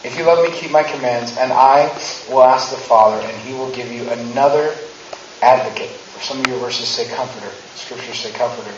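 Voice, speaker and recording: A man's voice: mid-range voice, brisk, a little hiss or hum. Man preaching on stage with handheld mic, joking and conversational, dull roomy church sound heard from far off. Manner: chatty and humorous, acting out quotes with rising emphasis. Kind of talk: conversational sermon. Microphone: handheld mic, far camera.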